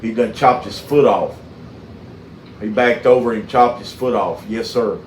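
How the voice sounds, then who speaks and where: A middle-aged man with a Southern US accent, chatting casually and telling stories in a folksy, halting way. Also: fairly low pitch, roomy sound, slight background noise, low-quality recording. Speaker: mechanic and channel host. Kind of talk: casual phone chat with storytelling.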